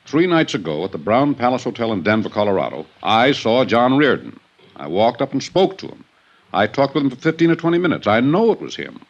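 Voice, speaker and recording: An actor playing a self-assured, insistent older American business executive in a 1950s radio drama, speaking in a formal, emphatic manner. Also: male voice, mid-range voice, sing-song, a little fast. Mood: insistent, certain. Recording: dull, band-limited old radio recording.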